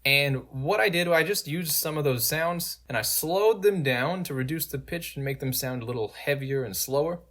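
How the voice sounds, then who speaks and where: Guy, slightly high voice, casually narrating a how-to video. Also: moderate pace, hardly any pauses, heavy background noise. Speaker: video tutorial host and game creator. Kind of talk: casual tutorial voice-over.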